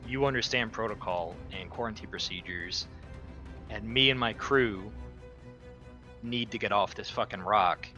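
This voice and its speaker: A man with a fairly low yet bright, forceful voice takes part in a tabletop game. His talk is informal and joking, with music playing behind him. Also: measured pace, pauses often, highs cut off. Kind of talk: casual in-character game chat. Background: background music.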